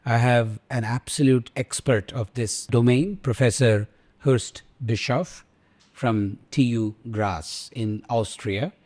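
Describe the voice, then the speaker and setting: A man with a mid-pitched voice hosting an interview podcast. He speaks in a measured, formal way as he introduces his guest and asks questions. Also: a little mellow. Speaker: podcast host.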